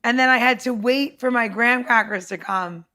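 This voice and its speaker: Sweary woman with a highish voice. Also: moderate pace. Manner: sweary, blunt, excitable. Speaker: chef and podcast guest. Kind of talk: casual chat, storytelling.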